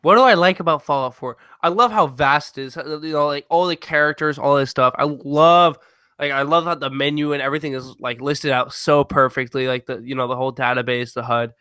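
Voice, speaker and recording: A young man co-hosting a casual gaming podcast, loud, excitable and boastful, often feigning outrage. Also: fairly high pitch, brisk, clear, resonant tone, dry recording, clean studio sound. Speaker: podcast co-host.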